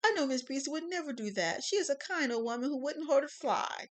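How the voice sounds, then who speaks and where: A woman's voice: high-pitched, expressive, a little fast, pitched above the performer's own voice. A woman voicing a nervous young male cashier accused of stealing in a classroom courtroom skit. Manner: shaky, tearful protesting.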